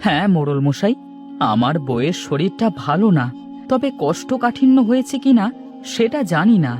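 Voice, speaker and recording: A woman's voice: lowish voice, expressive, moderate pace, darkish tone, soft background music, pitched below the performer's own voice, natural voice. Woman doing a meek, worried village husband. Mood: pleading. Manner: pleading, anxious, deferential.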